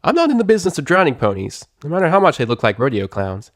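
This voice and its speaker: A man's voice: on the high side, wildly swooping pitch, fast, no room sound, studio quality, voice pushed up. A man voicing a brash, irritable young female pegasus pony from a cartoon story, with a cracking voice and slangy, blustering delivery. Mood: cocky, scolding. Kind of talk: animated character voice in a story reading.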